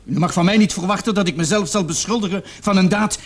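An actor playing a defiant, indignant young murder suspect in a radio play, stubborn and argumentative. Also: male voice, high-pitched, fast.

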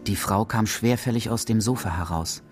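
Low-voiced man narrating. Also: moderate pace, soft background music. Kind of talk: literary radio drama narration.

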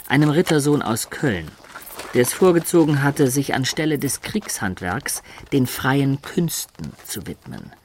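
A man's voice: medium pitch, slight background noise, close to an everyday voice. An actor playing a medieval adventurer who recounts his student youth with wry, ornate storytelling in a literary radio drama. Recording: studio radio drama with music beds.

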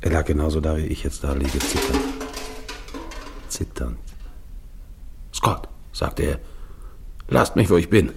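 An actor playing an anguished, guilt-ridden, dying polar expedition captain in a German radio play, with halting, brooding delivery. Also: male voice, a bass voice, animated, vocal fry, background noise, exaggerated voice. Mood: grieving, weary.

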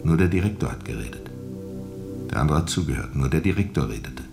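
A grave old-school river captain with an extremely low, gravelly voice. He tells his story in a measured, brooding way, as in a radio play, with faint music underneath. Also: lively intonation, exaggerated voice. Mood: sombre testimony. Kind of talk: radio drama narration, recounting under questioning.

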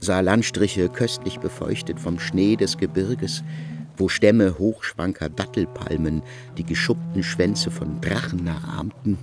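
A man's voice: sits low, unhurried, music in the background. A man narrating scripted literary prose in a radio drama.

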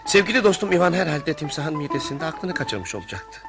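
An actor playing an earnest, exasperated family friend in radio theatre, delivered as theatrical storytelling. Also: male voice, fairly high pitch, a little fast, music in the background, close to an everyday voice. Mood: wry storytelling. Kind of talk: radio theatre narration and dialogue.

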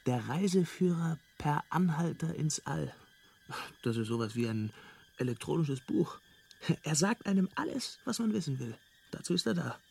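An actor playing a laid-back, knowing alien hitchhiker in a German radio drama, casual, matter-of-fact and amused. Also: male voice, a little high, expressive, normal speed, creaky, a little mellow, husky, exaggerated voice. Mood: breezy, explaining.

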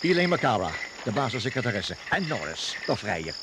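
Goading mocking prisoner, mid-pitched voice, radio thriller, clear jungle insect noise. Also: male voice, sing-song, a little fast. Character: taunting, needling male prisoner in jungle thriller. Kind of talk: radio drama acting.

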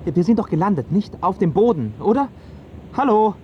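A man's voice: high-pitched, big pitch swings, normal speed, slight background noise. An actor playing a brooding, lovesick young man in a radio drama, earnest and declamatory. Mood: anxious, confused. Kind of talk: German radio drama acting. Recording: dull audio over music.

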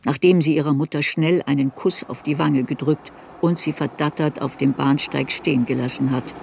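An actor narrating a literary radio drama as a reflective narrator looking back on youth. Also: male voice, a little high, fairly even intonation, normal speed, gravelly, a little hiss or hum. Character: narrator recalling a girl's youth in reflective memoir. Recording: studio radio drama with music.

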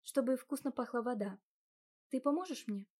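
A woman voicing a modest young heroine who speaks softly and quietly. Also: on the high side, expressive, normal speed. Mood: gentle. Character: gentle modest young heroine, soft-spoken.

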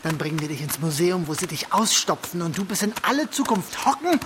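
A man's voice: sits high, very animated, fairly quick, background noise. An actor playing a proud, irritable man in a radio play, speaking and narrating in a wordy, dry, articulate way. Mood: disgusted, threatening. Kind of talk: radio play acting and first-person narration.

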